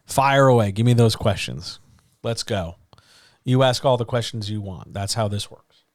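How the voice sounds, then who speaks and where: A man with a deeper voice teaching writing in a loose, conversational way, full of fillers like so, like and um. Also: moderate pace, dry recording, clean studio sound. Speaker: writing coach. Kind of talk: live-streamed Q&A lesson.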